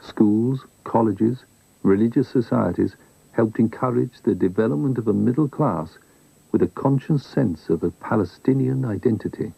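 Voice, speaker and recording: Guy narrating a documentary, formal and measured, really mellow low voice, bit of fry. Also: no echo, rough recording. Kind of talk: scripted narration.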